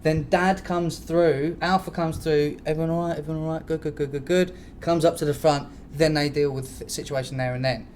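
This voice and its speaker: Guy podcast host w a slightly high voice, swears a lot, casual storytelling, noisy background. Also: a little fast, few pauses. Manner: animated storyteller, sweary, slangy, mimics noises and dialogue.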